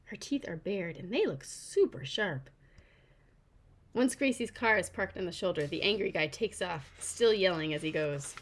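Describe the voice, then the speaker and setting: Woman author reading her kids book out loud, super animated, voice goes up and down a lot. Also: fairly low pitch, moderate pace. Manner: animated, informal. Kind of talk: expressive read-aloud of a children's novel.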